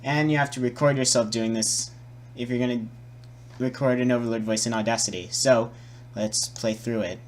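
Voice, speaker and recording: A man presenting a casual, improvised software tutorial, muttering and repeating himself as he works. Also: medium pitch, steady tone, normal speed. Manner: hesitant, muttering, repeating words while working.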